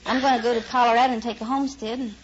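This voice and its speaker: A woman's voice: on the high side, steady tone, racing. A woman, telling homely stories in an earnest, rambling way as a contestant. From American Midwest. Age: 50s. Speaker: quiz show contestant, housewife. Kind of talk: unscripted folksy storytelling in an interview. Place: radio studio stage before a live audience. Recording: muffled, noisy vintage radio recording with audience. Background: studio audience and noise.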